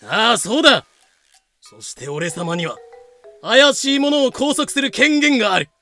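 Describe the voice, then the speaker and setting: Man acting pompous guard captain, audio drama, bright gravelly voice, blustering, suspicious, domineering. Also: extremely high pitch, animated, unhurried, heavily acted. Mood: haughty, suspicious. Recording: music bed behind voice.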